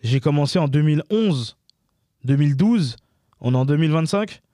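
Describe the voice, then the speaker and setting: Emphatic Parisian-suburb man, higher-pitched voice. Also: lively intonation, normal speed, mellow, no echo, studio quality. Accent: Parisian suburban French. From France, Paris suburb of Montreuil. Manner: emphatic, repetitive, colloquial. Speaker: interview guest, comedy creator. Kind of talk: casual conversational interview, slangy.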